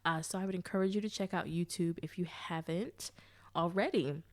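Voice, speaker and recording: A woman's voice: on the low side, steady tone, normal speed. Nasal, congested woman podcast host. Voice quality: nasal from allergies. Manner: chatty and friendly, laughs often, sisterly asides. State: congested, blocked sinuses. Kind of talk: casual solo podcast chat.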